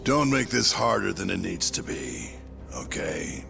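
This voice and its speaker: A man playing a menacing, foul-mouthed middle-aged yakuza captain in a crime video game, with a gravelly, sneering delivery. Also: low voice, big pitch swings, unhurried, full character voice. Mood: stern, threatening. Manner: sneering, threatening. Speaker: voice actor in a video game dub. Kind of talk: cinematic crime game acting. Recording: dramatic music behind the voice.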